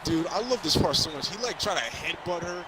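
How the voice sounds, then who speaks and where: A young man chatting casually while gaming, telling things in a rambling, halting way. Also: on the high side, animated, rough recording. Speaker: gamer reviewing a film with a friend. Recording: crowd and ball bounces from game. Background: basketball video game crowd noise.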